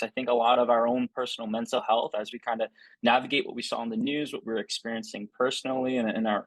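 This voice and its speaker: A man's voice: mid-range voice, dry recording, poor audio. Thoughtful man moderating a panel. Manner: thoughtful, many fillers. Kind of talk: hosting a panel discussion.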